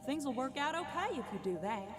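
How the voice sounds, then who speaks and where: Woman as a lively country pony. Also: high-pitched, fairly quick. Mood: encouraging. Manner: folksy, reassuring. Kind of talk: audio drama acting. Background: prominent background music.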